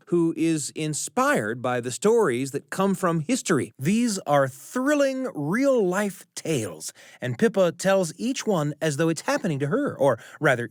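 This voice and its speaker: A man hosting a radio show, reading scripted introductions with deliberate, emphatic phrasing and dramatic pauses. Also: on the high side, a little mellow, dry recording, studio quality.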